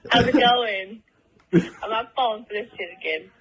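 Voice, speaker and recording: A woman's voice: on the high side, moderate pace, fairly bright tone, slightly rough. A woman talking casually on the telephone, answering a call. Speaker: phone call recipient. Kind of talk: casual phone conversation. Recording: noisy phone call.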